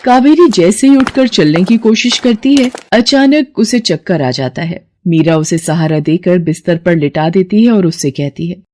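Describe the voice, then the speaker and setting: A woman narrating a moral story in a calm, measured way. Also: lowish voice, slight background noise.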